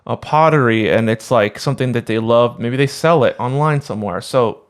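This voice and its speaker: A man co-hosting a podcast, chatting casually in halting sentences with restarts and fillers. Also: medium pitch. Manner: halting, restarts, many "like"s and "you know"s. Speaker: podcast co-host. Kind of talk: casual chat.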